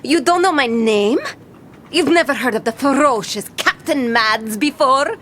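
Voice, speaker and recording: A woman's voice: high-pitched, measured pace, fairly bright tone, a little hiss or hum. A woman playing a bold, boastful young pirate captain in an audio drama, with theatrical, swaggering pirate talk. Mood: boastful, wary.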